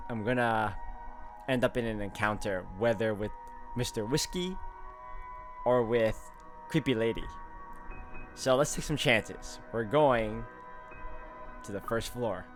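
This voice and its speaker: A man hosts a visual novel playthrough with game music behind him. His voice sits at a middling pitch. He reads the text aloud and drops in casual comments, thinking out loud. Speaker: let's play host.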